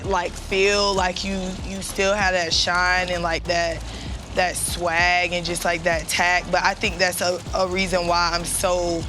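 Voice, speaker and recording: A woman with a deep voice speaking in a laid-back, slangy way in a group chat. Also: monotone, unhurried, noisy background. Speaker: panelist.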